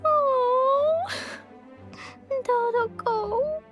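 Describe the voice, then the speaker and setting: A woman voicing an excitable, earnest little girl in an anime-style video game, speaking in a childlike, pleading way. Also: extremely high pitch, drawn-out words, muffled recording, over loud music, full character voice. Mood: upset, pleading. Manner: childlike, refers to self in third person.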